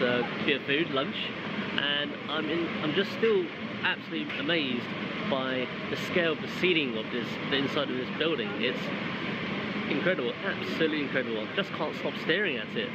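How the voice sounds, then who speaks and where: A man, giving a casual travel vlog in a hesitant, rambling way with repeated words. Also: fairly high pitch. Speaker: travel vlogger. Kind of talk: casual vlog chat to camera. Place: busy food market and cafes. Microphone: camera at arm's length. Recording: dull audio, loud public background noise.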